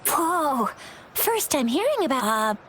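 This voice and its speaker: An actress playing a lively, chatty young female companion in a video game, casual, eager and expressive. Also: high voice, measured pace, a little hiss or hum, exaggerated voice. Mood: curious, chatty. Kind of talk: animated game dialogue.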